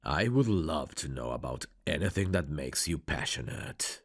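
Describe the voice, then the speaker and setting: A man acting a courteous, tender mafia bridegroom in an intimate ASMR romance roleplay, soft-spoken and flirtatious with a husky, gravelly voice. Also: very deep voice, animated, a little slow, dry recording, studio quality, full character voice. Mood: calm, courteous.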